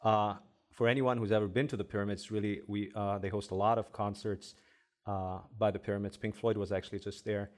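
Egyptian-born male doctor, low voice. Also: a little fast. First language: Arabic. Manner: relaxed, many "uh" fillers while explaining. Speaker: headache specialist doctor, guest. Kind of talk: casual podcast interview.

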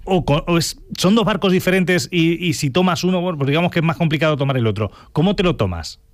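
A man hosting a radio interview, asking brisk, talkative questions of his guest. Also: fairly high pitch, no echo. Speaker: radio host.

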